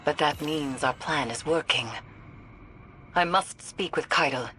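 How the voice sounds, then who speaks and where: A woman's voice: low-pitched, slow, sharp tone, slight background noise, exaggerated voice. A woman playing a grave, cryptic witch who counsels steadfastly in solemn, formal video game dialogue. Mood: grim, urgent.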